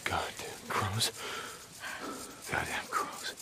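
An actor playing a gruff, wary ex-outlaw drifter in a video game, casual and rough-mouthed. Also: male voice, extremely slow, whispered. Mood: irritated. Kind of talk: cinematic game dialogue. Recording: outdoor ambience noise behind.

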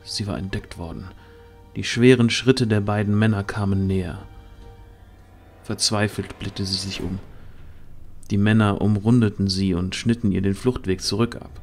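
A man's voice: fairly low pitch, fairly even intonation, moderate pace, darkish tone, faint music behind. A man reading dramatic scripted narration for a fantasy audio drama.

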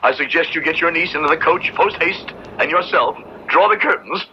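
Stern Puritan witch hunter, forceful bright voice, solemn formal phrasing, radio play, dull old recording. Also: on the high side, slight background noise. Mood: solemn, resolute. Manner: formal, solemn, measured oratory. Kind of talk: radio drama acting.

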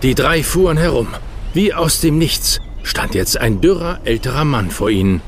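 A man narrating a children's adventure audio drama in a dramatic, expressive way. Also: fairly high pitch, normal speed. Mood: suspenseful telling. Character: storyteller of a children's adventure tale. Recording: clear ambient noise behind narration.

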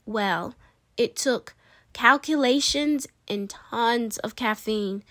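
A woman's voice: on the high side, fairly bright tone, no room sound, clean studio sound. A woman reading a children's picture book aloud expressively, with dramatic emphasis and playful asides. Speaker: read-aloud storyteller.

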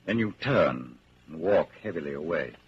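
Narrator for vintage radio drama: low-pitched, ominous, animated delivery, dull old broadcast sound. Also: male voice, moderate pace. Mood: tense, ominous. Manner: grave, portentous, dramatic. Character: ominous male narrator addressing the hero as you. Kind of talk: scripted second-person narration, 1940s radio drama. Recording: muffled, hissy 1940s radio recording.

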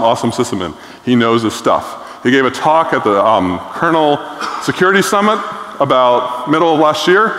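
A man's voice: on the high side, fairly bright tone. A middle-aged man giving a conference keynote on stage, blunt and enthusiastic, with frequent filler words. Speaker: keynote speaker. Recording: echoey hall reverb.

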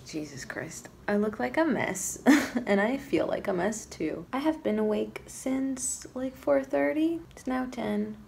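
A groggy young woman talking to her phone in a small room at home, creaky voice, rambling through her day. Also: a little high, expressive, a little slow, a little mellow, a little hiss or hum. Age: 20s. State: tired, groggy. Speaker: vlogger. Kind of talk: casual chat to camera. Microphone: phone or camera at arm's length.

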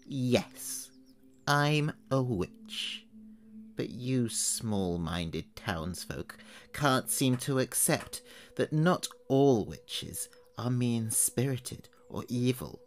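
A man's voice: medium pitch, animated, extremely slow. A man voicing a suspicious old woman witch who lives alone, speaking sharply and interrogating. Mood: stern.

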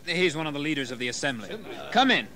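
An actor playing a bold, hot-headed colonial rebel leader in a historical audio drama, speaking forcefully and declaiming. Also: male voice, fairly high pitch, big pitch swings, fast, a crowd in the background. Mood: wary.

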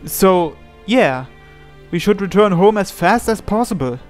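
A man's voice: high voice, lively intonation, a little slow. A man reading a visual novel aloud as dramatic scripted narration. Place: indoors, voice-over on game playthrough. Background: game music.

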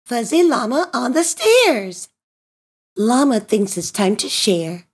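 A woman reading a children's picture book aloud in an expressive, deliberate, sing-song way for young listeners. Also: a little high, breathy edge. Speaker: storybook reader and host of a read-aloud channel.

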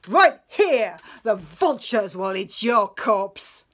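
A woman's voice: medium pitch, sing-song, slow pace, a bit bright, heavily acted. A woman voicing an angry young male suitor, declaiming indignant threats. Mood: outraged, threatening.

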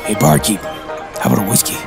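A woman voicing a grim, haunted western drifter with a laconic, deadpan delivery. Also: a bass voice, fast talker, vocal fry, loud music behind the voice, pitched below the performer's own voice, exaggerated voice.

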